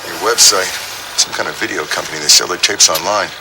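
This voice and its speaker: An actor playing a dry, unfazed male police officer with a gravelly voice and clipped delivery in an audio drama. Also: low-pitched, a little fast, noisy background, close to an everyday voice.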